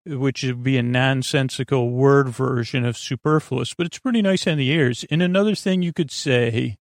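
Male sleep-podcast host. Creaky, gravelly voice at a middling pitch, meandering and hesitant delivery, very dry recording. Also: no room sound. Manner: meandering, halting, tangential, absent-minded. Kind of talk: rambling sleep podcast monologue.

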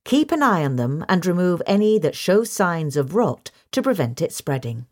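A woman reading a scripted question-and-answer guide in a measured, instructional manner. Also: fairly low pitch, big pitch swings, a little mellow. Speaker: reader of a magazine article.

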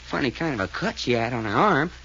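Lively Southern cowboy-style sidekick. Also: male voice, mid-range voice, fast talker. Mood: skeptical. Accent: American Southern. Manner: drawling, colloquial, grumbling. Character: folksy, skeptical Texan-style sidekick detective. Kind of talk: 1940s radio mystery acting. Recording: dull, noisy old radio recording.

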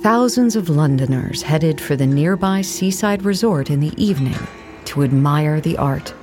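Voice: medium pitch, animated, moderate pace, a little mellow, faint music behind, close to an everyday voice. A narrator reading a scripted documentary account for an audio play in a formal, factual manner.

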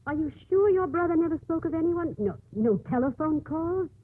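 An actress playing an earnest, devout nun in a 1950s radio drama, with a husky voice. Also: female voice, very high voice, animated, normal speed. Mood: urgent. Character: earnest, devout young nun, stubbornly convinced and pleading. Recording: muffled old 1950s radio broadcast.

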